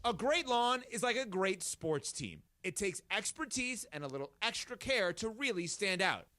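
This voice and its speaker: A man's voice: high voice, sing-song, normal speed, pushed and bright. A man reading a commercial in a polished, upbeat manner. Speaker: ad announcer. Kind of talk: read commercial.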